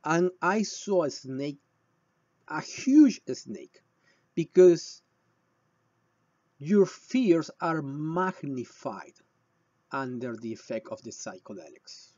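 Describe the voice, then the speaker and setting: A man from Peru with a Peruvian Spanish accent and a husky voice, explaining and telling stories to viewers in short, emphatic phrases with deliberate pauses. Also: a little high, expressive, a little mellow, dry recording, dull audio. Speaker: shamanic healer presenting a video. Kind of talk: explanatory talk with personal storytelling.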